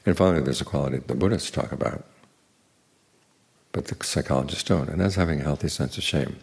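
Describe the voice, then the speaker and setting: An older man, a Buddhist monk, giving an evening dharma talk in an unhurried, plain teaching manner with dry humor. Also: darkish tone. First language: English. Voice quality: very deep gravelly voice.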